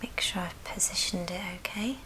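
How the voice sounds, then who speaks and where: A woman's voice: medium pitch, moderate pace, poor audio. A woman whispering throughout as she gives an ASMR craft tutorial. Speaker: ASMR craft video host. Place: at a friend's house, indoors. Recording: noticeable background noise and clicks.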